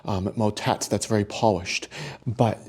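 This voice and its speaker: Male interview guest, music director type, soft mellow tone, on the low side, earnest and measured. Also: fairly even intonation, breathy edge. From New Hampshire, United States. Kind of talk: radio interview. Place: on location at a seminary.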